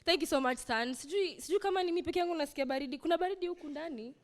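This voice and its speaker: A woman's voice: sits high, racing. A Kenyan woman hosting a comedy night, playfully roasting guests on stage. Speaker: host. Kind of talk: stand-up compering.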